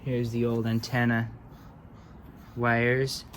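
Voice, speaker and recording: A man's voice: mid-range voice, level tone, takes it slowly, slight background noise. A man giving casual, hands-on commentary as a hobbyist electronics repairer, offhand and muttering as if to himself.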